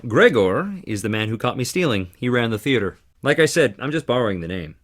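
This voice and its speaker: An actor playing a charming, theatrical theater performer with dramatic flair in a video game. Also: male voice, medium pitch, sing-song, fairly quick. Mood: wistful, sincere. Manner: theatrical, dramatic, swinging between playful and intense. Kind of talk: video game character dialogue.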